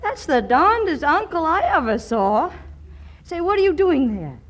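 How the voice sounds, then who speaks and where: A woman's voice: extremely high pitch, big pitch swings, measured pace, exaggerated voice. An actress playing a woman who poses as an innocent little girl in a 1940s radio comedy, coy with wry asides. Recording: old radio broadcast with faint noise.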